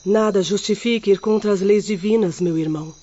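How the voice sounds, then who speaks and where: A woman's voice: mid-range voice, a little fast, dark tone, close to an everyday voice. A woman playing a compassionate but firm middle-aged spirit medium in a radio drama, earnestly consoling and exhorting. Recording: muffled, lo-fi radio play audio.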